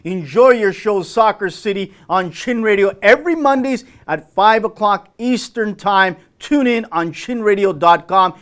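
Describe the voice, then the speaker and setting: A man's voice: high-pitched, a bit bright. A middle-aged man hosting a soccer talk show in an effusive, emphatic way. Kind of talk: sports radio hosting.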